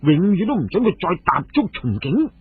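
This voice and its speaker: A man's voice: high voice, very animated, moderate pace, breathy edge, voice pushed up, heavily acted. A man voicing a shrewd, resolute hero and clan leader in a radio story, cool and decisive. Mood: cold resolve. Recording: phone-like, muffled audio with music.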